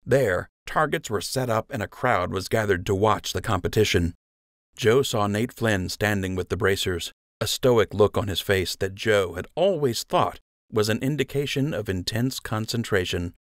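Low-pitched male storyteller, dry sound. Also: moderate pace, no room sound. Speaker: audiobook narrator. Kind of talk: scripted storytelling narration.

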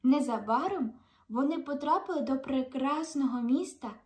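A woman's voice: high-pitched, expressive, normal speed, a little mellow. A young woman reading a children's fairy tale aloud expressively, as a storyteller. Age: young adult. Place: indoors in a room, seated.

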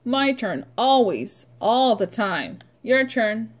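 A woman preschool teacher reading aloud and drilling vocabulary for young children in short, simple instructional phrases with pauses. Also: on the high side, big pitch swings.

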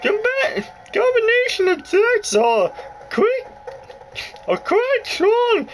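A man puts on a prim older woman spy chief in a video game, pitched far up high. The delivery is exaggerated, formal and halting as orders are given. Game music plays behind. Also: huge pitch swings, full character voice. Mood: stern, instructive. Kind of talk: mock read-aloud of game dialogue. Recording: game music playing from handheld console.

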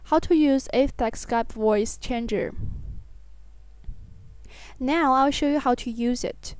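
A woman reading a scripted software tutorial in an instructional, step-by-step manner. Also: high voice, takes it slowly, smooth, dry recording.

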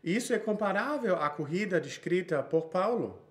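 A man's voice: high-pitched, animated, moderate pace. A man in his thirties with a Brazilian Portuguese accent, preaching a sermon he reads from notes, with frequent small restarts.

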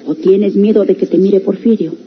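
A woman's voice: mid-range voice, steady tone, normal speed, close to an everyday voice. Muffled actress as caring rural Mexican woman. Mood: urging. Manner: rustic, familiar. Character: hesitant, caring country woman, loyal friend. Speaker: actress in a radio drama. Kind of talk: old Mexican radio serial acting. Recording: muffled old radio recording, clear noise.

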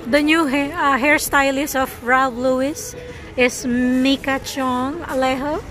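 High female voice, middle-aged, Filipino accent, slow casual vlog chat, busy crowd behind. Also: fairly even intonation. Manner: chatty, laughs easily. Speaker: family vlogger. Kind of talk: casual vlog chat, mixing Tagalog and English. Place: outdoor shopping plaza. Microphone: handheld phone camera.

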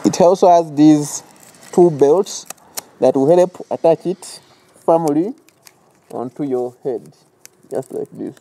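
A Ugandan man in his thirties runs an outdoor training class. He walks through each step plainly and patiently. His voice is mellow and dark, but pitched slightly high. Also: takes it slowly, long pauses, a little hiss or hum, poor audio. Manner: instructive, explaining step by step. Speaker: soap-making trainer. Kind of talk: outdoor safety demonstration. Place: outdoors by a metal gate.

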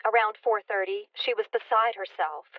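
A woman's voice: mid-range voice, normal speed. A woman playing a concerned actress reporting news over a filtered telephone line in an audio drama. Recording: dull, dry telephone-filtered sound.

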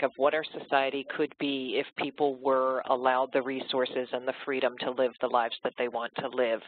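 A man speaking as a panelist on a webinar, thoughtful and halting, with restarts. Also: on the high side, no echo. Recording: dry tinny phone line.

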